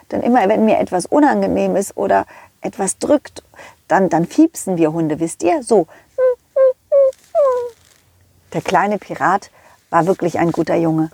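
A woman's voice: mid-range voice, creaky, a little mellow, husky, no room sound, full character voice. A woman voicing a friendly, eager dog who tells its own adventure to children, repeating words for emphasis. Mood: sheepish, grateful. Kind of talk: first-person children's storytelling.

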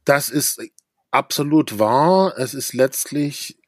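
A man hosting a casual conversation, speaking in a measured way and searching for his words. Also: mid-range voice, lively intonation, dry recording, clean studio sound.

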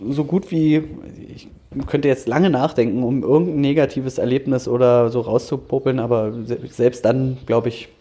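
A man's voice: mid-range voice, fairly even intonation, moderate pace, dark tone. A man from Berlin in his thirties, an angler talking casually as a podcast guest, upbeat and a bit rambling, laughing often. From Germany, Berlin.

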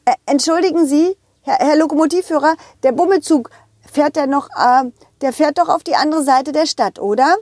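Woman playing an eager, high-voiced little boy dog. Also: normal speed, no room sound, natural voice. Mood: excited, eager. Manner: excitable, addresses children directly. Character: cheerful, eager little male dog telling his adventure. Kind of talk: children's audio play.

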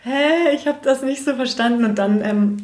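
A woman's voice: sits high, normal speed, a little mellow. A young woman from Bavaria, a school teacher, answering interview questions in a frank, chatty way and laughing easily. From Bavaria, Germany. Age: young adult. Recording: roomy echo.

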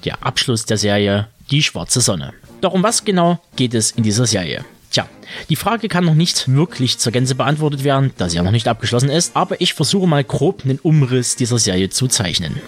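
A man's voice: medium pitch, sing-song, fairly quick, slightly rough. A man presenting as a podcast host in a scripted narration style. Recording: music under the voice. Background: music.

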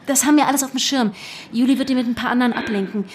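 High-voiced, driven young woman agent acted out. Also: very fast, lightly acted. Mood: reassuring, businesslike. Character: driven, earnest young female undercover agent among activists. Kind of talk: naturalistic audio drama acting. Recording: clear background noise and ambience.

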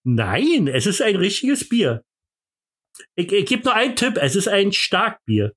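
A man's voice: high-pitched, wildly swooping pitch, measured pace, fairly bright tone, husky. A man with a Berlin accent hosting a podcast, joking around in boastful, mock-pompous banter full of fillers and restarts. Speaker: podcast host.

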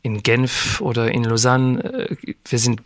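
A man's voice: mid-range voice, steady tone, no room sound. Man, French accent, non-native German, hesitant, dry close sound. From France, raised in Geneva. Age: young adult. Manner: searching for German words, halting. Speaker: opera tenor, guest. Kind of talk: casual interview chat.

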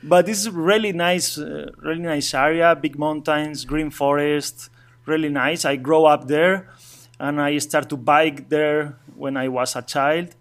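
A man from Asturias with a Spanish accent, speaking halting non-native English as an interview guest, with many restarts and light chuckles. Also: a little high, fairly even intonation. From Spain, Asturias. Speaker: guest, traveling cyclist. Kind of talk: casual remote interview.

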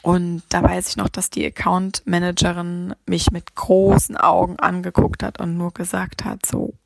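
Young woman from saarland chatting about her life, low-ish gravelly voice, dry close sound. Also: normal speed, no room sound. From Saarland, Germany. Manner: chatty, many fillers, lively retelling. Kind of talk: casual personal storytelling.

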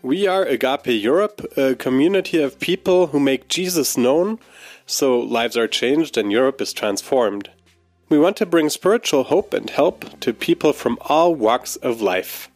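A man's voice: medium pitch, lively intonation, measured pace, darkish tone, no room sound, faint music behind. A man hosting a faith podcast, reading a friendly scripted welcome and asking curious questions. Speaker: podcast host. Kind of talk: scripted intro, then interview chat.

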